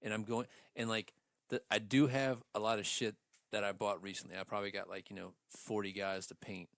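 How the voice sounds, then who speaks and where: Male podcast co-host, low voice, dry recording, blunt and casual, free with swearing. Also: level tone, a little fast, gravelly, fairly bright tone, no room sound. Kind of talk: casual chat.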